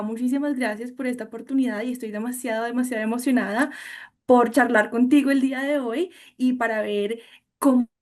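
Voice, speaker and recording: A woman's voice: a little high, a little mellow. A woman in her thirties from Colombia with a Colombian accent, speaking as an interview guest about her work, eager and fluent, slipping in English terms.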